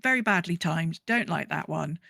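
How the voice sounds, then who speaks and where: A woman's voice: low voice, lively intonation, moderate pace, creaky, bright, forceful tone, no echo, clean studio sound. A woman co-hosting a podcast in casual chat, conversational, repeating phrases for emphasis as she goes. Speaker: podcast co-host. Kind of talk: casual podcast chat about a video game.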